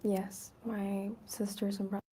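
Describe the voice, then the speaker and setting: An eighteen-year-old woman from Iraq testifying as a witness, giving soft, brief answers that are sometimes half-whispered. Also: medium pitch, completely flat delivery, measured pace, breathy edge. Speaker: trial witness. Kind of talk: testimony under questioning.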